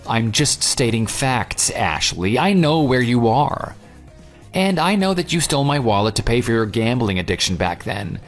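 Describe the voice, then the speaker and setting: Sarcastic, animated jilted man, played by an actor. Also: medium pitch, moderate pace, faint music behind. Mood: accusing. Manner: sarcastic, taunting. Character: vengeful jilted ex-fiancé, sarcastic and coldly smug. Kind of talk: dramatized phone dialogue.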